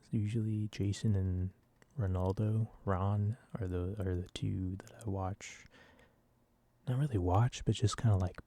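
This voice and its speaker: A man in his early twenties rambling softly and casually in an ASMR style, with a self-deprecating manner. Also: on the low side, breathy edge, no room sound. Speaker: ASMR video creator. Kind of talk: soft-spoken rambling ASMR.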